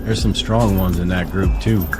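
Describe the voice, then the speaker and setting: A man acts out a henchman in a camp, matter-of-fact about his grim trade. His voice is deep. It is audio drama with music clearly behind. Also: steady tone, normal speed, natural voice.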